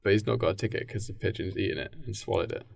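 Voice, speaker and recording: A man co-hosting a podcast, chatting casually and pitching ideas in a hesitant, halting way. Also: creaky, dry recording, studio quality. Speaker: podcast co-host. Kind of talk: casual brainstorming chat.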